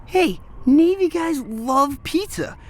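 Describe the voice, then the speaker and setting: An actor playing a cocky, scheming teenage boy in a radio drama, brash, slangy and excitable. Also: very high voice, slow pace, slight background noise, heavily acted. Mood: eager, brash. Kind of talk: naturalistic radio drama acting.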